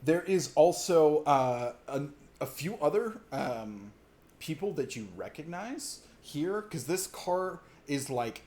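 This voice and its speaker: A man narrating a tabletop role-playing game as game master, in halting, conversational descriptions. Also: fairly high pitch, very animated.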